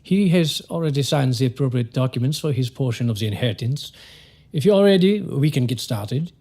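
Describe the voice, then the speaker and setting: A man playing a courteous German lawyer in an audio drama, with a German accent and formal, sometimes halting phrasing. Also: medium pitch, expressive, warm tone. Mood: formal, welcoming. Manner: formal, halting word searches.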